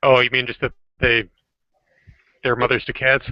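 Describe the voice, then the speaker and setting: Male chat participant, mid-pitched, conversational, close dry sound with a dull internet-call finish. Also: level tone, normal speed, no room sound. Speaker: participant in an online hangout. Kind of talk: casual group chat. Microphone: online voice call.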